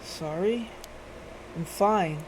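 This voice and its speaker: A woman voicing a weary, composed older boss detective in a visual novel, speaking in an understated, quiet way. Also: very slow, background noise. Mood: calm, grim. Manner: low-key, understated, quiet.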